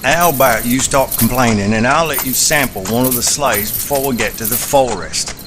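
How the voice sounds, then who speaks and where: A man's voice: mid-range voice, normal speed, background noise, lightly acted. A man voicing a menacing, mocking pony slaver gang leader in an audiobook. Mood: mocking sweetness. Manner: mocking, taunting, commanding. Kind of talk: audiobook character voice.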